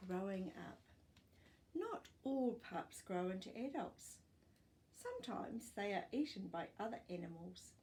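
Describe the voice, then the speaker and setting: Expressive woman reading aloud, slow and lively. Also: mid-range voice, vocal fry, a little hiss or hum. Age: middle-aged. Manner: expressive, explanatory, as if to young children. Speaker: storytime host reading aloud. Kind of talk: children's read-aloud with asides. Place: living room at home.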